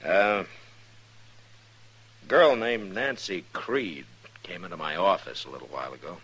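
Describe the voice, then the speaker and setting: An American man acting a calm, patient frontier marshal in a 1950s radio western, speaking in a measured way with hesitant uhs. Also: low-pitched, a little hiss or hum. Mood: steady, businesslike. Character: calm, steady adult male US marshal in frontier west.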